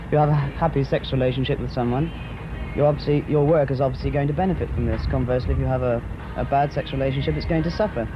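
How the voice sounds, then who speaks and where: Young man, medium pitch, brisk, earnest interview opinion, dull noisy vintage sound. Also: steady tone. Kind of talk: earnest, reasoned interview answer.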